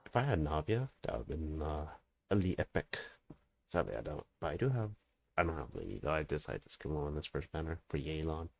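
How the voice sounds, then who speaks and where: A man's voice: extremely low pitch, very animated, fairly quick, gravelly. A man chatting casually on a gaming livestream, talking in slang and swearing freely. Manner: slangy, swearing, scat-singing to himself. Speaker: game streamer.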